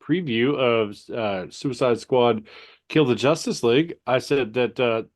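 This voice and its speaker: Male co-host with a mid-pitched nasal, sniffly voice who stumbles and restarts as he tells a story. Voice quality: nasal, stuffy. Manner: halting, repeats words, storytelling. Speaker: podcast co-host. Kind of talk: casual podcast chat.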